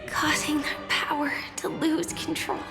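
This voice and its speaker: Shaken young woman, very high, slow halting whispers, reverberant, music underneath. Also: little pitch movement. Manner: hushed, halting, partly whispered. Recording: distant reverberant game audio with music.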